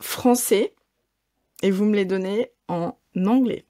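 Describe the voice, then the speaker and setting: A woman's voice: mid-range voice, slow pace, warm tone, dry recording, studio quality. A woman hosting a casual podcast, upbeat, leading the segments with many fillers. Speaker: podcast host.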